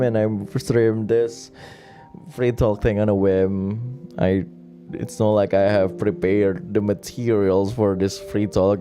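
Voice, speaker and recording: A man's voice: mid-range voice, warm tone. A man chatting casually and rambling on a late-night stream, with many restarts and laughs. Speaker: virtual streamer.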